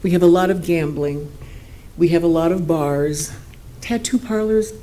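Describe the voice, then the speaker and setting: A woman's voice: on the low side, measured pace, rough recording. An older woman, a longtime local resident, giving an earnest, concerned public comment from the podium at a city meeting. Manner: earnest, concerned, naturally loud voice. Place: city council chamber podium.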